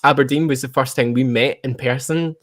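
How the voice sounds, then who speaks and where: A Scottish man hosting a casual live chat in an excitable, chatty manner. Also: medium pitch, brisk, no room sound, clean studio sound. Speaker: podcast host and audio drama producer.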